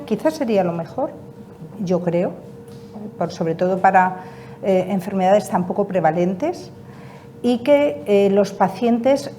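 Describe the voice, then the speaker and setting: Emphatic woman, mellow voice. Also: mid-range voice, slight background noise. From Spain. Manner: emphatic, repeats key points. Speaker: patient association representative. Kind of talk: panel interview answers.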